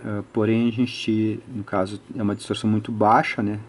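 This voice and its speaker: A man's voice: lowish voice, flat delivery, moderate pace, slight background noise. A Brazilian man giving an unscripted technical tutorial, methodically thinking aloud and often adding short tag questions. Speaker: electronics hobbyist presenter.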